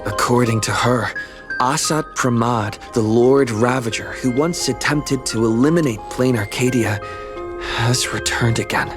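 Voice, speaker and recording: A man playing a young hero who soberly recounts his adventure in a measured, scripted game-story narration. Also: mid-range voice, over music, close to an everyday voice. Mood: grave.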